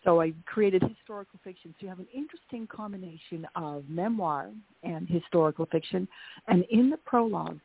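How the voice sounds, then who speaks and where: A woman's voice: fairly low pitch, a little fast, creaky, slightly rough. A middle-aged woman from Canada, an author, telling her family story in an interview and often repeating words as she recalls. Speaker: author guest. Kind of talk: interview over phone. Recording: tinny phone line.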